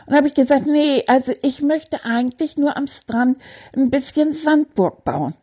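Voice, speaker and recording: A woman voicing a chatty, boastful male stuffed toy rat, telling a children's story in an excitable, childlike way with a husky voice. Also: high voice, normal speed. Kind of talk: children's audio story monologue.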